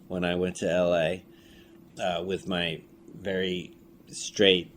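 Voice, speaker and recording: A man being interviewed as a filmmaker and audio drama creator, telling anecdotes in a casual, rambling, animated way. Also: deep voice, slow pace. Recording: noisy room ambience.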